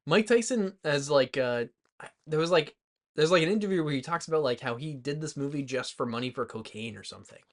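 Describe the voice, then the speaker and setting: A man co-hosting a movie podcast, talking in a loose, informal way with lots of halting filler words as he retells things. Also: fairly high pitch. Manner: informal, heavy use of "like", halting, animated retelling. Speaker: podcast co-host. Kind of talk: rowdy casual movie chat.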